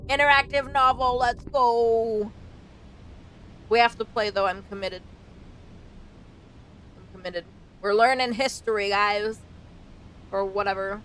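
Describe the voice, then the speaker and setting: Woman streamer over clear rumbling noise. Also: unhurried, long pauses, a bit bright, rough recording. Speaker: streamer playing and reading a visual novel. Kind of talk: casual let's play with read-aloud text.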